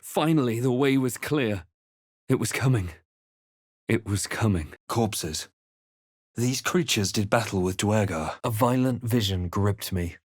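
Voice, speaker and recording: Grave fantasy adventurer, dry close recording. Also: male voice, fairly low pitch, animated, unhurried, dry recording. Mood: grave, measured. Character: brooding young male fantasy adventurer narrating his quest. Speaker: player character in fantasy role-playing game. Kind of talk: dramatic scripted game voice lines.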